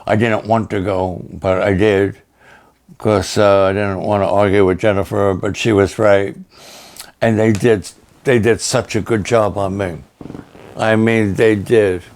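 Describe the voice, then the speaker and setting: Older man, pastor, raspy, hoarse, very slow delivery, halting and repetitive. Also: lowish voice, little pitch movement, darkish tone. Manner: slow, halting, plainspoken, repeats himself. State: hoarse after illness and a long program. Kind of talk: slow personal update to supporters.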